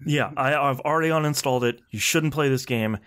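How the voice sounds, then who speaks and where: A man co-hosting a casual gaming podcast, talking in a blunt, slangy way with animated complaints. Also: medium pitch, brisk. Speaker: podcast co-host.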